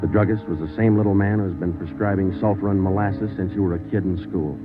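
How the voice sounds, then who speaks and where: An actor playing a terse, stoic detective sergeant, clipped and deadpan, in a 1940s radio crime drama. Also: male voice, sits low, fast, close to an everyday voice. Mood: dry narration. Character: terse, stoic police detective sergeant narrating his case. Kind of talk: 1940s radio acting and narration. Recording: tinny 1940s radio recording with music.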